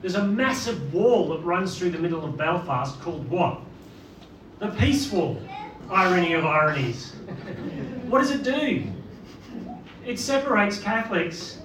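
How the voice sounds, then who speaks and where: A man's voice: high-pitched, animated, unhurried, pauses often, fairly bright tone. A middle-aged man from Northern Ireland with a Northern Irish accent, preaching a sermon and asking the congregation rhetorical questions. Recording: roomy, distant mic with background noise.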